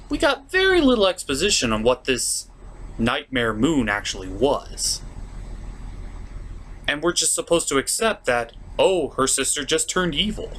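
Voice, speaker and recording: A man's voice: mid-range voice, a bit bright, slight background noise. A man hosting a comedic review, narrating from a script with sarcastic, mock-exasperated asides. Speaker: host of a comedic cartoon review show.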